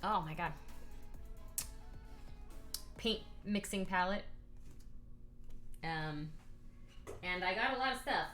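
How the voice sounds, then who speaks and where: A hoarse woman streaming herself painting miniatures, chatting casually with many ums and reading chat aloud. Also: fairly low pitch, expressive, slow pace, long pauses, fairly bright tone, soft background music. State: hoarse, voice partly lost.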